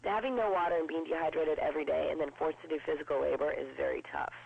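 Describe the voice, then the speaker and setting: A woman in her twenties with a low voice, chatting upbeat as a guest in an interview. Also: steady tone, gravelly, breathy edge, no echo. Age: 20s. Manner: chatty and upbeat, quick with jokes. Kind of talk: casual interview. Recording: dull lo-fi broadcast sound.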